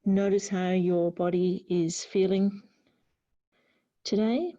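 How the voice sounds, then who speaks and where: Dark-voiced woman gently guiding yoga. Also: medium pitch, steady tone. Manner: gentle, unhurried guiding with pauses. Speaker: yoga teacher. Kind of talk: guided yoga class instruction. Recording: slightly dull, lo-fi sound.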